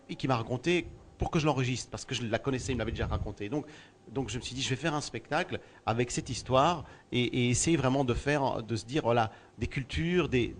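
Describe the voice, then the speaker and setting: A male storyteller from Belgium with a mid-range voice, answering questions in an unhurried, thoughtful way. He often repeats a word as he searches for the next phrase. Faint ambient noise sits behind him. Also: mid-range voice. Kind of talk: interview answers. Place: outdoors at a festival.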